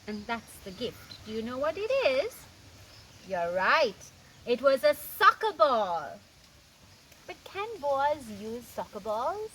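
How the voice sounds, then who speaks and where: A woman reading a picture book aloud to children in a teacherly, expressive way, stretching words for emphasis and putting questions to her listeners. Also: fairly high pitch, rough recording. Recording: clear background noise.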